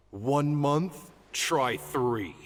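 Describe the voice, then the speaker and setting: An actor playing a stern, indignant elderly scholar in an anime game, scolding in a pompous, theatrical way. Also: male voice, mid-range voice, lively intonation, very slow. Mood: exasperated. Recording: music behind the voice.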